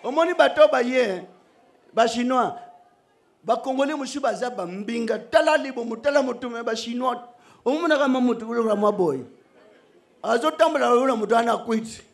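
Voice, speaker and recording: Middle-aged guy preaching on an outdoor stage, really high voice, jokey and animated, yells then goes soft. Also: moderate pace, creaky. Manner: animated, joking, switching between shouting and soft asides. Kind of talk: sermon. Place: outdoor church stage under a canopy. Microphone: stand microphone.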